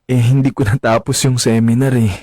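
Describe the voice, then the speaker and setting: An actor playing a respectful, earnest young son in a radio drama, polite and hesitant. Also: male voice, medium pitch, little pitch movement, brisk, warm tone, no room sound, close to an everyday voice. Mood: apologetic. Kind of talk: Filipino radio drama acting.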